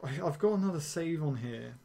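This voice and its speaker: A young man streaming a game, rambling and ranting casually with swearing and quick laughs. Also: fairly high pitch, animated, gravelly, warm tone. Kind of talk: casual gameplay commentary.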